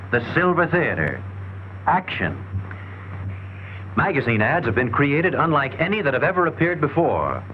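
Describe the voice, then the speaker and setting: Male narrator for a 1940s sales training film: emphatic, lively intonation, dull lo-fi period sound. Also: medium pitch, a little hiss or hum. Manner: emphatic, rhetorical, salesmanlike delivery.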